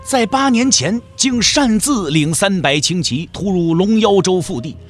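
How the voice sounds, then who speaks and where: Male narrator for dramatic audiobook storytelling: slightly high voice, very varied pitch, light music bed. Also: fairly bright tone, slightly rough.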